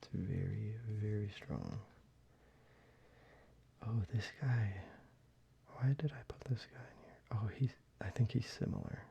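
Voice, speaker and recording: A man whispers and speaks softly in a relaxed, casual way, with a gravelly edge and a dark, mellow tone. The recording is a little muffled, with a faint hiss. Faint clicks of handled cards sit in the background. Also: lowish voice, measured pace, long pauses. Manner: soft-spoken, mostly whispered, casual with fillers. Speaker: ASMR creator. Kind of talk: soft-spoken ASMR card showcase.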